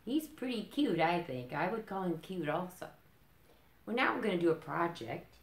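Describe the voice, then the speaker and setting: Woman, middle-aged, children's story reader; unhurried, slightly low, explanatory, roomy sound. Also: big pitch swings. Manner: simple explanations with checking questions. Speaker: library story time reader. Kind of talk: read-aloud picture book storytelling with asides to children. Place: indoors in a curtained room. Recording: roomy, echoing small-room sound.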